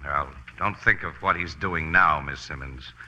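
Brash, bright-voiced radio newshound. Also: male voice, rumbling low, unhurried, lo-fi sound, a little hiss or hum, exaggerated voice. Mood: thoughtful. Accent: American. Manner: punchy, slangy, confident. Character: brash, slangy male newspaper crime photographer. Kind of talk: 1940s radio drama acting. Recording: old radio broadcast recording.

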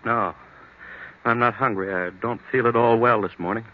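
A man's voice: medium pitch, steady tone, normal speed, natural voice. An actor playing an anguished, hesitant husband who fears his own memory lapses, in old-time radio drama style. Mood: weary, uneasy. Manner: hesitant, troubled, pleading. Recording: dull, noisy vintage radio recording.

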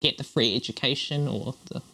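A man's voice: mid-range voice, steady tone, a little fast, gravelly, bright, forceful tone, low-quality recording. A young man from Hong Kong co-hosting a podcast, chatting casually with dry, wry humor. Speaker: podcast co-host. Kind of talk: casual chat.

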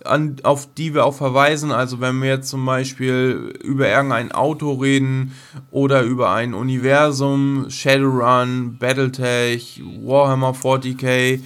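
A man's voice: medium pitch, flat delivery, unhurried, a bit bright, clean tone. A man co-hosting an unscripted podcast, chatting informally in colloquial speech sprinkled with English slang. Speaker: podcast co-host. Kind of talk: casual unscripted podcast chat.

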